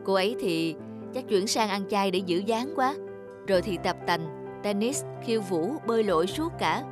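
A man's voice: sits high, animated, normal speed. A man voicing a lonely husband and father in a cartoon, earnest and given to grumbling. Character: lonely husband and father, discontented with his wife. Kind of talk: animated cartoon dialogue. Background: music.

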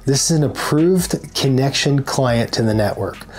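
A man's voice: mid-range voice, animated, measured pace, darkish tone. A man in his forties giving scripted, instructional narration to camera as a tutorial presenter. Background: background music.

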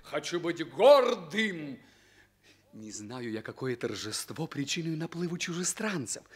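Proud, swooping young prince. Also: male voice, fairly high pitch, big pitch swings, measured pace, breathy edge, exaggerated voice. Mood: proud, fervent. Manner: declamatory, emphatic. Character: proud young exiled prince in a fairy-tale tragicomedy. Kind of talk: theatrical radio drama acting.

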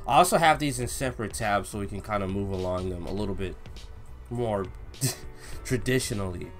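Young man, reaction host, informal slang, expressive emphasis, music in the background. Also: fairly low pitch, moderate pace. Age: young adult. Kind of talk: casual reaction commentary and read-along. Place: small indoor room at home.

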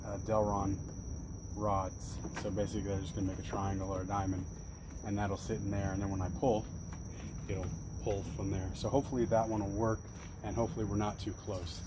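A fairly low-voiced man in his forties talks through his gear in a loose, rambling way, full of ums and uhs. He is recorded outdoors on a camera mic. Crickets and insects fill the background noise. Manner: relaxed, rambling, many fillers. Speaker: detailing business owner showing gear. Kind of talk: casual vlog walkthrough. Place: outdoors by a fenced wall at night, later daytime.

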